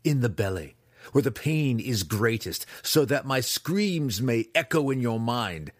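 A man's voice: lowish voice, big pitch swings, a little slow, a bit bright, husky, no room sound, studio quality, voice pushed up, full character voice. A man voicing a growling, taunting drow weapons master. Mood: goading. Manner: growling, derisive.

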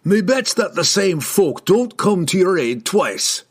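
Fantasy audiobook dwarf: growling, hot-headed, rustic, big swings in pitch. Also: male voice, very animated, measured pace, husky, heavily acted. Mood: defiant warning. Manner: growling, barking, rustic folksy speech. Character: gruff, defiant, quick-tempered male dwarf warrior.

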